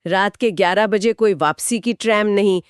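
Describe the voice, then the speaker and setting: A woman's voice: mid-range voice, normal speed, clean tone, no echo, clean studio sound. A woman co-host retelling a short story as scripted narration within a two-person discussion.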